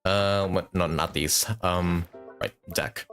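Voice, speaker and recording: A man's voice: sits low, fairly even intonation, slow pace, a bit bright, soft background music. A man chatting casually as a live game streamer, rambling and thinking aloud with some mild swearing. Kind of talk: casual gaming stream commentary.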